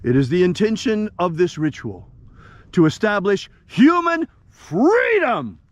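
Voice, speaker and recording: An older man preaching with fervor, his voice sitting a touch high and swinging widely in pitch. He climbs into sharp, indignant outbursts, then leads a call-and-response prayer. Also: sing-song, unhurried, no room sound, highs cut off. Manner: impassioned, rising to indignant outbursts. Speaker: ritual leader. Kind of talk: preaching and leading a ritual prayer.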